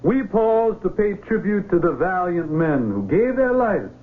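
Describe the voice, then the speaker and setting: Pompous senator, animated high-pitched oratory. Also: male voice, unhurried. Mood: solemn, grandiose. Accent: American. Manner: oratorical, formal, grandiose. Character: pompous United States senator giving a ceremonial speech. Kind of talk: 1970s radio drama acting. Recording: tinny old radio recording.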